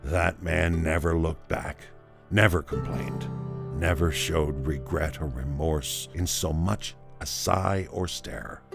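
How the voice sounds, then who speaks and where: An actor playing a stoic, grief-numbed man narrating a memory in an audio drama, gravelly and somber, with halting phrasing. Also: a bass voice, heavily acted. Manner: slow, halting, somber delivery. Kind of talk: dramatic first-person audio drama monologue. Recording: music behind the voice.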